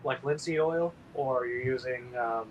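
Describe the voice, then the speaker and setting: A man's voice: medium pitch, a little slow, a little hiss or hum, poor audio. A man hosting a casual painting livestream, rambling and sleepy with lots of ums.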